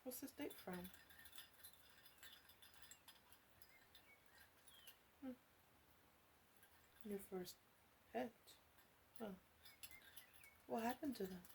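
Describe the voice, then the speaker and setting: A woman playing a flirty, teasing witch in an ASMR roleplay, coaxing the listener softly with playful asides. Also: fairly high pitch, slow pace, creaky, a little hiss or hum. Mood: coaxing, curious. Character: teasing, flirty witch in her three hundreds.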